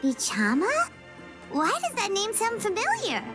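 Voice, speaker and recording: A tiny game companion with an extremely high, childlike voice that bounces around in tone. She is chirpy, inquisitive and exclamatory, with loud music playing underneath. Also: huge pitch swings, a little slow, heavily acted. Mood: puzzled. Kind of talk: English video game voice acting.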